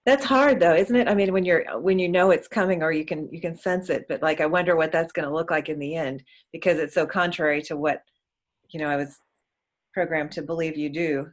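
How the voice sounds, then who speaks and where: An audience member speaking casually, thinking aloud and halting while searching for words. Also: mid-range voice. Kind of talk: casual conversation.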